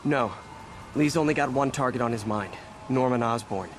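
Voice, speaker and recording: A man's voice: mid-range voice, moderate pace, close to an everyday voice. An actor playing a wisecracking, earnest American superhero in his twenties in a video game, conversational and joking, with background noise. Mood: focused. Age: 20s. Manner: quippy, conversational. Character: wisecracking young superhero man, earnest, quick with jokes.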